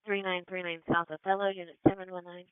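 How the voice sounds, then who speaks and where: Lady dispatcher on a police scanner, thin tinny radio sound, very crisp and clipped. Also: lowish voice, little pitch movement, fast, no echo. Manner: clipped, procedural, reading out numbers digit by digit. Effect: two-way radio. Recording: tinny narrow-band radio scanner feed.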